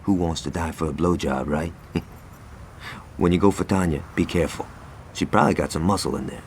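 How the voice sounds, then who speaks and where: An actor playing a young, foul-mouthed American street gang lieutenant in a game cutscene, swaggering and wry. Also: male voice, deep voice, brisk, a little hiss or hum. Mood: cocky planning. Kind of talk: video game cutscene acting.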